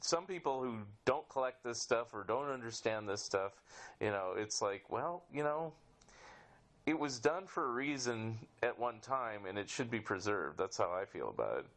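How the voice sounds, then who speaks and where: A man with a medium-pitched voice hosts a podcast in an informal, conversational way. He is given to small chuckles between his phrases. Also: expressive, moderate pace, fairly bright tone. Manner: chuckles often. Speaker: podcast host. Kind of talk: casual interview with sign-off.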